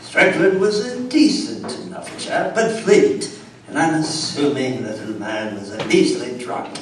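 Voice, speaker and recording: A man's voice: on the high side, animated, unhurried, a little hiss or hum. A man playing a haunted colonial Englishman who narrates a horror tale in a theatrical, suspenseful on live radio theatre. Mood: brooding, suspenseful. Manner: theatrical, suspenseful, dwells on words. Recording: echoey hall, distant mics, live audience.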